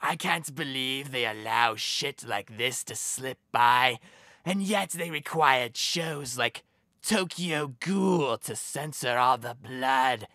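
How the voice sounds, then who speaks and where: A man's voice: mid-range voice, slow, bright, forceful tone, no echo, studio quality, pitched below the performer's own voice. A man voicing a creepy, lecherous hero parody in a cartoon voice audition. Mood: leering.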